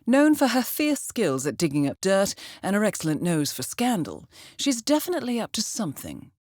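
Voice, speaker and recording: An actress playing a cool, composed intelligence handler in a video game, briefing an assassin with articulate dry wit. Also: female voice, medium pitch, wildly swooping pitch, normal speed, darkish tone, no room sound. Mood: cool, dryly amused. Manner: composed, dryly witty, articulate. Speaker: mission handler.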